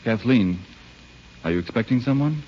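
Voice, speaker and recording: A man's voice: medium pitch, lively intonation, moderate pace, over music, natural voice. An actor playing a persistent, teasing young male suitor in a 1930s radio drama, with light banter. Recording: old muffled radio, faint noise.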